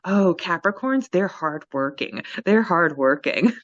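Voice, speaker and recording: An upbeat, giggly woman co-hosts a casual fan podcast and reads out listener questions in a slightly low voice. Her mic sounds a little dull and lo-fi. The room is dry and close. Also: expressive, unhurried, dry recording. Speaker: podcast co-host.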